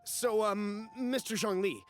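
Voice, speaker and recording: A man's voice: high voice, steady tone, unhurried, fairly bright tone, slight background noise. A man playing a nervous, wary merchant in an anime game, hesitant, polite and deferential. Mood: flustered, pleading. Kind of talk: anime video game acting. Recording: music behind the voice.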